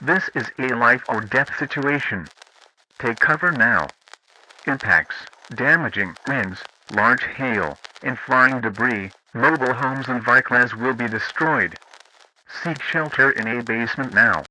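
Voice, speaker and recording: A man's voice: mid-range voice, lively intonation, measured pace, pushed and bright, a little hiss or hum, rough recording. A man's synthetic-sounding voice reading scripted emergency weather warnings as an alert announcer.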